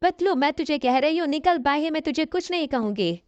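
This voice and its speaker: Woman voicing a high-voiced scolding cartoon mother. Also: expressive, a little fast, a little mellow, no room sound. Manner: reproachful, insistent. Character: scolding, exasperated middle-aged mother in a cartoon. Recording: dull, lo-fi audio.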